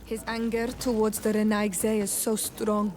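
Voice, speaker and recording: A woman's voice: mid-range voice, completely flat delivery, unhurried, darkish tone, close to an everyday voice. A woman playing an admiring companion character in a video game. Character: admiring female companion of a diplomat. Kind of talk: video game dialogue acting. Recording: clear ambient noise behind the voice.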